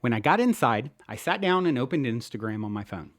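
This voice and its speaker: Male author-narrator, mid-pitched voice, widely varied intonation, earnest, first-person audiobook reading. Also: big pitch swings, brisk, no room sound, studio quality. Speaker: author and show host reading own book.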